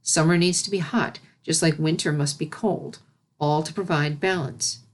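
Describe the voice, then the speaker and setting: A woman voicing a regal pony princess in a storybook reading, a gentle, patient mentor with a measured, formal way of speaking. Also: low voice, steady tone, slight background noise, close to an everyday voice. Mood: patient, explanatory.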